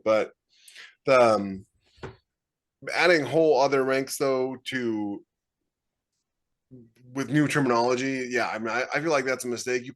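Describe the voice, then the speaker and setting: A middle-aged man, a podcast co-host and author, chatting casually on a video call from home with loose, trailing asides. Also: medium pitch. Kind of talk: casual chat. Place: plain small room at home.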